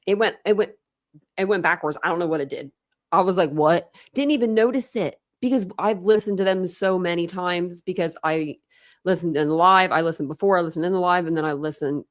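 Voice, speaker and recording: A young woman, chatting casually on a livestream and rambling with lots of fillers, her voice going hoarse. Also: mid-range voice, dry recording, studio quality. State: losing her voice, throat clearing. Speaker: true crime streamer.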